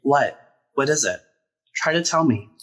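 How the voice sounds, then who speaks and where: A man's voice: medium pitch, animated, a little slow, fairly bright tone. A man voicing a big-tempered but caring father in a storybook, speaking emphatically and directly. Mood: gentle concern.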